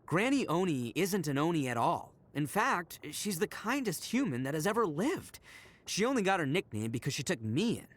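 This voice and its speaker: A man's voice: a little high, sing-song, normal speed, fairly bright tone. A man voicing a brash, boastful, big-hearted young oni gang boss in a video game, boisterous and slangy in a theatrical way. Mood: protective. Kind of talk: video game character voice acting.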